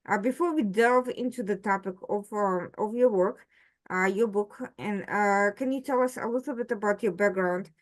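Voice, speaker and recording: A woman hosting an interview program, introducing and questioning a guest in a halting, hesitant delivery with frequent pauses. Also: mid-range voice. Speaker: host, editor-in-chief of a news program.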